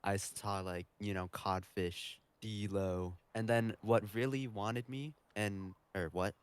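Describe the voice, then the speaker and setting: A man's voice: on the low side, fairly even intonation, slow, noisy background. A man answering interview questions casually as a guest on a podcast. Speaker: podcast guest, competitive beatboxer.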